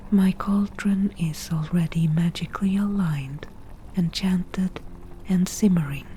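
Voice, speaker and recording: A woman playing a crafty, slightly defensive forest witch in an ASMR roleplay, speaking in a soft breathy whisper with measured, dry delivery. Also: fairly low pitch, slight background noise, low-quality recording. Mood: sly, businesslike. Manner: slow, measured, matter-of-fact with dry asides. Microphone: close ASMR microphone.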